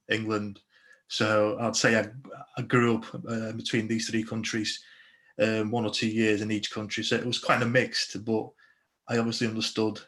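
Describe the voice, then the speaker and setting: A man's voice: lowish voice, fairly bright tone. A young adult man from Slovakia, a police constable, speaking earnestly on a video call interview with many restarts.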